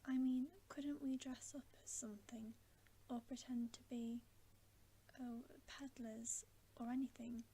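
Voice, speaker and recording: A woman's voice: on the high side, flat delivery, mellow, husky. Woman whispering as an insistent, earnest schoolboy. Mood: urgent. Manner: halting, insistent. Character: urgent, well-meaning schoolboy, eldest brother.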